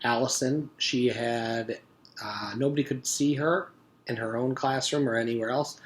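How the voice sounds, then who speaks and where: A man's voice: medium pitch, slight background noise. A man narrating a children's story aloud, like a teacher reading to pupils.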